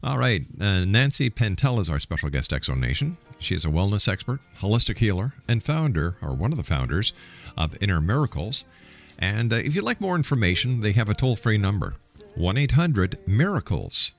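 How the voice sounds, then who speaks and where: A man's voice: on the low side, sing-song, fairly quick, gravelly, soft background music. A man hosting a radio show, interviewing and announcing to listeners.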